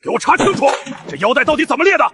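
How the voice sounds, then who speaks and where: A man's voice: extremely high pitch, very animated, very fast, fairly bright tone, slight background noise, full character voice. A man voicing an angry film director in an audio drama, barking orders at his crew. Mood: angry, commanding. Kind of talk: dubbed audio drama dialogue.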